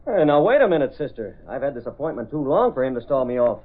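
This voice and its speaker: An actor playing a cocky, streetwise American newspaper reporter who wisecracks in slang, in a 1930s radio drama style. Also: male voice, mid-range voice, sing-song, fast talker, slight background noise, close to an everyday voice. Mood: exasperated. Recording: muffled, narrow-band old radio recording.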